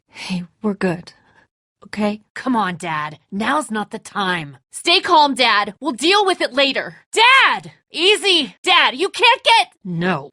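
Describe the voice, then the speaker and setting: Bitter angry woman from a video game, raw and distraught, slow heavy delivery, really dry close sound. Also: high-pitched, bright, forceful tone, dry recording, full character voice. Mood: subdued grief, then tense, accusing. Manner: raw, emotional, confrontational, sweary. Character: hot-tempered, distraught, bitter grown daughter in a crisis. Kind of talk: dramatic video game acting.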